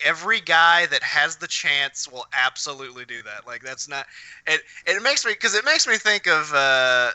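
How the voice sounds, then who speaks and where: A man's voice: mid-range voice, sharp tone, clear, resonant tone, no room sound, low-quality recording. A man chatting casually with friends as a podcast host, speaking in an opinionated, blunt and sweary way.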